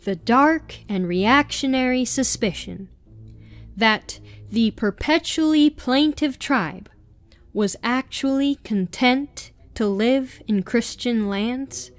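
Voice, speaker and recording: Female reader, fairly high voice, very slow deliberate article narration over clear background music. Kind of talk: reading an article aloud.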